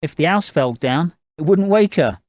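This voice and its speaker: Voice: medium pitch, expressive, moderate pace, a little mellow, dry recording, natural voice. An actor playing a flustered, easily tempted young husband in an audio drama, hesitant in manner. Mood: casual, direct. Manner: hesitant, muttering. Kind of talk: audio drama character acting.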